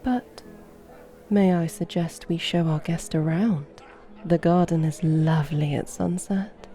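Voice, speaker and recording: A woman's voice: lowish voice, measured pace, dark tone, close to an everyday voice. A woman playing a seductive, mischievous vampire in an ASMR roleplay, speaking in a flirtatious, languid, formal way. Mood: sardonic, coaxing.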